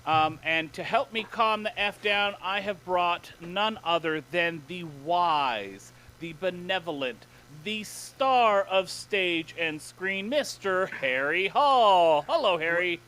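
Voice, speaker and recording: A man hosts a gaming podcast with excitable, playful energy. His voice sits high and swings widely, with a bright, forward tone and a faint hiss underneath. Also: slow, few pauses, rough recording. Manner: excitable, laughs often, playful. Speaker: podcast host. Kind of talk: casual podcast chat.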